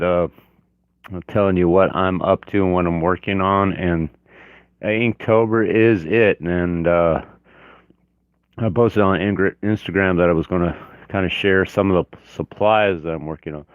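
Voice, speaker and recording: A man hosting his art channel in a relaxed livestream chat from his home office, hoarse from a cold and talking haltingly with many fillers. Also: low voice, dry recording, phone-quality sound. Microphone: external mic on camera.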